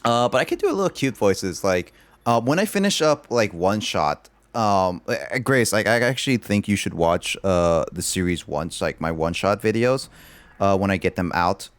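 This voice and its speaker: Low-voiced rambling streamer guy. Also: lively intonation, moderate pace, no echo. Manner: rambling, loaded with "like" fillers. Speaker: livestreamer. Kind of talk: casual livestream chat.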